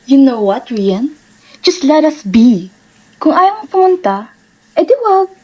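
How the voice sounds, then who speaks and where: A woman's voice: high-pitched, sing-song, slow pace, a little mellow, heavily acted, poor audio. A woman playing a breezy, self-assured young woman in a radio drama, casual in her delivery. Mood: dismissive.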